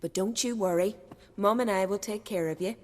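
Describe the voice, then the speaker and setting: A woman's voice: medium pitch, brisk, in a large hall, natural voice. A woman playing a chatty, bossy young village girl in a video game, with a folksy, teasing way of talking. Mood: brisk, insistent. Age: young adult. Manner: folksy, dialect-heavy, teasing.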